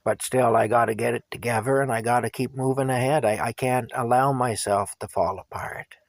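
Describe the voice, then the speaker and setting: A man, likely older, talking earnestly and plainly to his viewers in a candid monologue, his throat going hoarse. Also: medium pitch, fairly even intonation, a little fast, no room sound. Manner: earnest, plain-spoken, repeats himself for emphasis. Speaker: vlogger.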